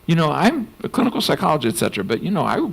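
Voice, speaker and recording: Animated older man with a gravelly voice. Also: on the high side, racing. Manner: animated, laughing, mock voices for emphasis. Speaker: panel speaker, clinical psychologist. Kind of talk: conference panel discussion.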